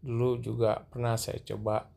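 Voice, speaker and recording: Man, middle-aged, gravelly voice, flat delivery, walking tour narration, gentle, filmed on the move. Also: mid-range voice, normal speed. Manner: gentle, repeatedly addressing viewers as sir and madam. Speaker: vlogger guiding viewers. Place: large mosque, indoor escalators then open rooftop at night.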